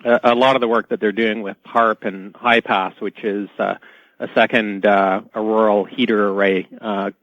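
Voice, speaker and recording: A man speaking as an expert guest on a radio show, explaining technical details in a halting way. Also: on the low side, flat delivery, no room sound. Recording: dull band-limited radio call audio.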